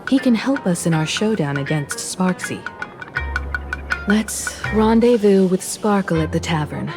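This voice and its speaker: A woman voicing a composed, wistful young woman in a game story, talking in a measured, reflective way. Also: lowish voice, animated, music in the background. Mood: serious, resolved. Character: composed, wistful young woman, former scrappy martial artist student. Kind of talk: scripted game story dialogue.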